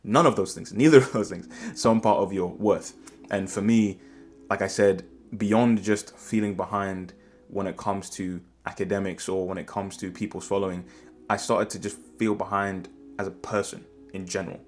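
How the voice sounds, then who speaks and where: A man's voice: deep voice, steady tone, moderate pace. A young man, talking earnestly in a reflective personal vlog and often rambling and restarting his sentences. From Ghana. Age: 20s. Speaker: vlogger.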